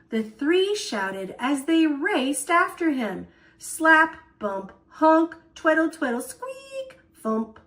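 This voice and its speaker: A woman reading a children's picture book aloud as storyteller, with expressive delivery and playful emphasis. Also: sits high.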